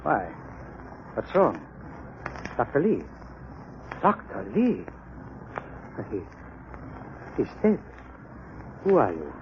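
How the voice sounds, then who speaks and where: A man's voice: mid-range voice, huge pitch swings, extremely slow, pauses often, full character voice. Officious laboratory man, muffled old radio. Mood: startled, uneasy. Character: officious, suspicious male sanitarium laboratory chief. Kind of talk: 1930s radio mystery acting. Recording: dull, noisy 1930s radio recording.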